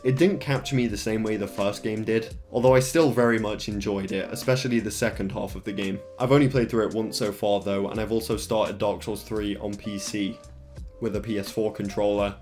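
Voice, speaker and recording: A man with a lowish voice talks through his playthrough in a relaxed, self-deprecating voice-over. His voice sounds a little tired and worn from long recording. Faint game music plays softly beneath him. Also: brisk. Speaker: gaming video creator. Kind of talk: casual game commentary voice-over.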